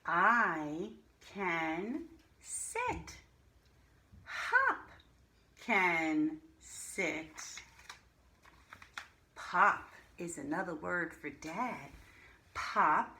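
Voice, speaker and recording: A woman teaching a reading lesson to young children, pronouncing single words deliberately and speaking to the children directly. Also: lowish voice, very animated, bright, forceful tone. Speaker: primary school reading teacher. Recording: roomy echo, faint background noise.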